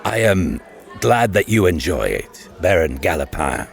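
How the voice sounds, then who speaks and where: Low, creaky, haughty lord. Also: male voice, very animated, a little slow, soft background music, full character voice. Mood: stiff, coolly polite. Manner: stiff, coolly polite, condescending. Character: haughty, cool aristocratic lord, host of a ball. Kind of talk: full-cast audiobook acting.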